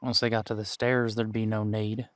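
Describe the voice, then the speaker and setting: A man acting as a seasoned detective in an audio drama, with a lowish voice in a dry, close recording. He is a patient, wry mentor who thinks aloud in folksy, colloquial phrases and takes his time. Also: level tone, normal speed, no echo, lightly acted. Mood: thoughtful, questioning. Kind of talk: roleplay audio drama, one-sided conversation.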